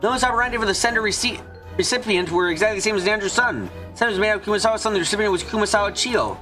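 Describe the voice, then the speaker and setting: A man's voice: high-pitched, animated, very fast, pushed and bright, soft background music. A man reading scripted visual novel narration as a fan dub streamer.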